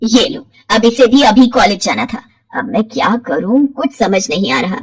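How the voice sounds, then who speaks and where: A woman's voice: mid-range voice, very animated, normal speed, slightly rough, dry recording. A woman voicing a fretful, complaining middle-aged mother-in-law in a cartoon story. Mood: irritated, fretful. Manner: complaining, scolding.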